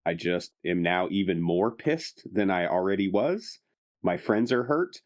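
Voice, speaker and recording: Low-voiced man playing a tabletop game. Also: expressive, unhurried. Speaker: player. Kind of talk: casual tabletop game play.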